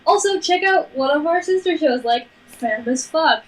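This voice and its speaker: A woman's voice: high-pitched, lively intonation, normal speed. A woman co-hosting a podcast, chatting casually and plugging the show in a teasing, sweary, mock-bossy way. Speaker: podcast co-host. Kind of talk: casual banter and show plugs.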